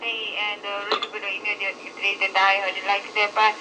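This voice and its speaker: Distant, halting restaurant worker with non-native English. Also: mid-range voice, completely flat delivery. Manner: halting, confused, polite, fragmented phrasing. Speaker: restaurant worker taking a customer call. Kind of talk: unscripted phone conversation. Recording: distant, noisy telephone line.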